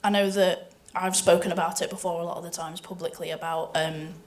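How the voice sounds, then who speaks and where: A woman with a slightly low voice speaks as a guest on a festival panel. She talks conversationally and earnestly, in a personal way, as a performer would about her own work. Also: fairly even intonation, fairly quick. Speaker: voice actor and panellist.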